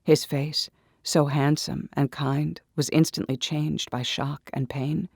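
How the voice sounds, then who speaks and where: A man delivering dramatic first-person scripted story narration in an intense, deliberate manner. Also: fairly high pitch, little pitch movement, gravelly, dry recording, studio quality. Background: music.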